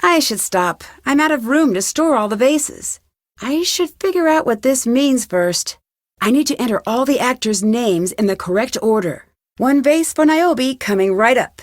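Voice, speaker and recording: A woman voicing a curious, determined young female detective in an adventure game, talking to herself in clear, purposeful scripted lines. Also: a little high, lively intonation, normal speed, no echo, studio quality, lightly acted. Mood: focused, curious. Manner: clear self-talk, inquisitive and purposeful. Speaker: game protagonist.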